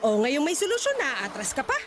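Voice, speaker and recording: A woman's voice: high voice, wildly swooping pitch, fairly quick. A woman acting a pushy, pageant-obsessed mother with a Filipino accent, scolding and commanding in a radio drama. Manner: scolding, commanding, sharp-tongued. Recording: faint background noise.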